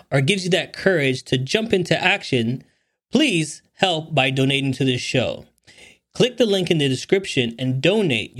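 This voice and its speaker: A friendly, eager man hosts his own podcast and reads his sponsor thanks himself. His voice sits fairly high and swings up and down a lot as he talks. The sound is close and dry, with no room around it. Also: a bit bright, no room sound. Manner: friendly, eager, quick asides. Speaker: podcast host. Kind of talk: upbeat casual interview chat.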